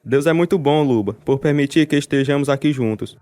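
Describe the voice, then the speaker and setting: An actor playing a devout imprisoned preacher and tender husband in a radio drama, speaking earnestly. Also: male voice, mid-range voice, brisk, darkish tone, no echo, close to an everyday voice.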